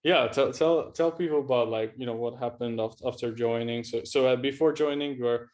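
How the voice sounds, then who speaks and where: A man hosting a casual online interview, speaking haltingly with repeated words and lots of "you know". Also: medium pitch, darkish tone. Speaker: host, agency coach interviewing a student.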